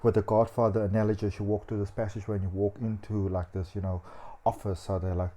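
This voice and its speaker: Mellow-voiced man giving a tarot reading. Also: fairly low pitch, fast talker. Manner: chatty and animated, with frequent "um" and "you know". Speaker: psychic tarot reader.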